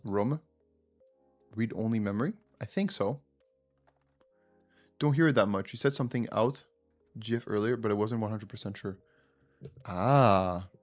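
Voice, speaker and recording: A man's voice: on the low side, a little slow, pauses often, faint music behind. A man with a French accent, French his first language, hosting a casual live quiz and thinking aloud while chatting with viewers. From France. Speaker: livestream host.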